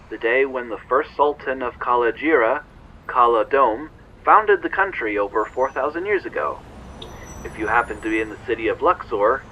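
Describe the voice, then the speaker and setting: Actor as a chirpy morning radio announcer, muffled. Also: male voice, medium pitch, pushed and bright. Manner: upbeat, presenterly patter. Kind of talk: radio broadcast acting. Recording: dull, band-limited radio sound.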